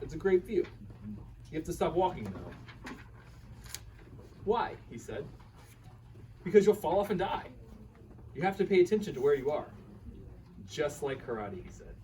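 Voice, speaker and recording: Man acting animated, higher-voiced karate student, distantly miked. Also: close to an everyday voice. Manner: animated, chuckling, quotes his teacher's dialogue. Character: bemused earnest karate student recounting his trek to his partner. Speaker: reader in a staged radio play. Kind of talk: live radio play reading, conversational storytelling. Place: live reading at a bookshop event. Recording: distant microphone with faint room noise.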